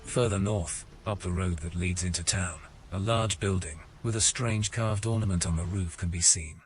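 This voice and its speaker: A man giving scripted narration for a fantasy audio game in a measured storytelling manner. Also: sits low, a little hiss or hum. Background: music.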